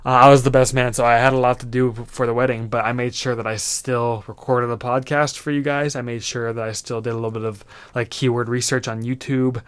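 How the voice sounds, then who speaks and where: A man's voice: mid-range voice, flat delivery, fairly quick, hardly any pauses, smooth, dry recording. A man in his twenties hosting a solo podcast, giving earnest motivational advice in a loose, rambling way with lots of fillers. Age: 20s. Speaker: podcast host.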